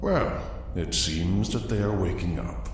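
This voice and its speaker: A man's voice: sits low, expressive, measured pace. A man playing a smug, sinister cultist creature in a fantasy audio drama, with mock courtesy. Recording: very echoey, distant, faint noise.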